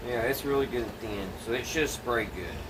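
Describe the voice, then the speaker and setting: A man's voice: mid-range voice, normal speed. A plainspoken, folksy man thinking aloud as he casually narrates hands-on work to viewers. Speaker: DIY vlogger. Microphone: phone camera set away from speaker. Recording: distant mic, noisy with steady background hum.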